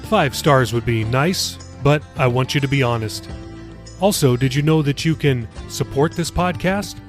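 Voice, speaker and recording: A man's voice: mid-range voice, moderate pace, faint music behind. A man hosting a podcast, giving a chatty, informal, upbeat promo read. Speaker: podcast host.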